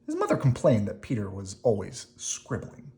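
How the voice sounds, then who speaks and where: A person reading a children's picture book aloud expressively, with dramatic emphasis, pauses and brief explanatory asides. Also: medium pitch, huge pitch swings, warm tone. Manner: dramatic emphasis, pausing between phrases.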